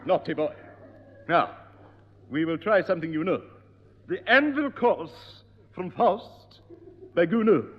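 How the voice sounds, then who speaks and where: An actor playing a prim, pompous piano teacher in a 1960s radio comedy. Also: male voice, high-pitched, sing-song, unhurried, pauses often, full character voice. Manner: pompous, prim, repeats "oh yes". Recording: dull, echoey old radio broadcast.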